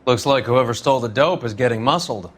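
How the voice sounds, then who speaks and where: An actor playing a cynical, worldly 1940s police veteran who speaks bluntly and swears. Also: male voice, medium pitch, a little fast, natural voice. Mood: matter-of-fact. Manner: gruff, blunt, profane.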